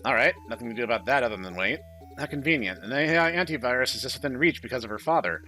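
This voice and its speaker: Bright, animated man reading game dialogue. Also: medium pitch, quick pace, faint music behind. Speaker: gaming channel host. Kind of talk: reading visual novel dialogue aloud, playthrough commentary.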